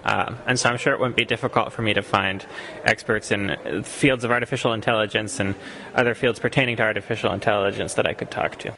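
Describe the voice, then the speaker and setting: A man's voice: lowish voice, fairly even intonation, fast talker, creaky, sharp tone. A young man from Costa Rica, an exchange student answering radio interview questions thoughtfully, with frequent ums and restarts. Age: young adult.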